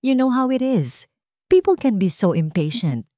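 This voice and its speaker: Female narrator as suave wealthy fiancé, boastful, covering desperation, raised pitch, audio romance drama, dry close sound. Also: sing-song, measured pace, mellow, no room sound. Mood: evasive, placating. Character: smooth-talking rich fiancé, boastful man hiding desperation.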